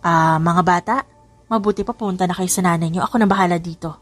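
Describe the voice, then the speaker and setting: A woman's voice: lowish voice, steady tone, quick pace, voice pushed down, natural voice. A nervous college dean played by a woman narrator in an audiobook. The manner is apologetic and placating, and music plays behind the words.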